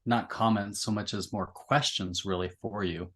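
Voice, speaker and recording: A man in a thoughtful literary discussion, speaking reflectively and feeling his way through roundabout, halting phrasing. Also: on the low side, fairly even intonation, a bit bright. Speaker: podcast discussion participant.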